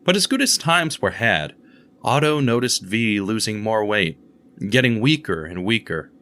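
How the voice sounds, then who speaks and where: A man narrating a radio drama in a measured, somber storytelling voice. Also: on the low side, expressive, pushed and bright. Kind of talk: scripted narration.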